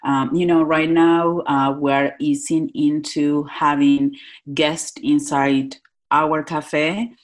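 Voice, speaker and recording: A woman chef from Northwest Argentina with an Argentine Spanish accent, chatting in an interview with many pauses and fillers. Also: low-pitched, little pitch movement. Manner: hesitant, many fillers, warm laughs. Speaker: chef and cafe owner. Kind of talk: casual interview chat.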